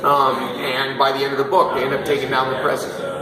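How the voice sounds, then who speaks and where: A man, an author with a voice going hoarse, talking casually about his book series, often clearing his throat and trailing off with fillers. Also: mid-range voice, monotone, fast. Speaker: author giving a reading. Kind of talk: reading aloud, then casual talk about his books. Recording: roomy echo with crowd behind.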